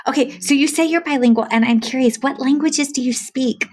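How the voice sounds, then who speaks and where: A woman's voice: high-pitched, brisk, darkish tone. A woman hosting a casual interview podcast, chatty and effusive, sharing her own anecdotes. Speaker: podcast host, speech-language pathologist.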